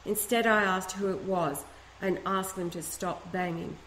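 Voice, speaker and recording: A woman's voice: mid-range voice, steady tone, unhurried, fairly bright tone, slight background noise. Woman reading a letter, echoey room. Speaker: reader of a letter. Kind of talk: first-person letter read aloud. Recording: echoey room, faint ambience.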